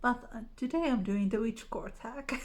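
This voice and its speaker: A woman's voice: fairly high pitch, normal speed, darkish tone. A woman with a Finnish accent, a native Finnish speaker, chatting casually and unhurriedly to viewers in English as a vlogger. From Finland. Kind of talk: casual chat to camera, answering tag questions.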